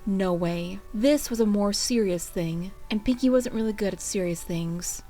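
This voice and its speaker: A woman reading fan fiction aloud as an expressive storybook narrator. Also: medium pitch, normal speed. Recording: music under the voice.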